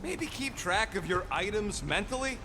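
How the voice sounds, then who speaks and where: An irritable raccoon engineer from a video game, pitched high with wide swoops. He grumbles in brash slang and snipes sarcastically, with music clearly behind him. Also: expressive, normal speed. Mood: impatient. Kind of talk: animated game character acting.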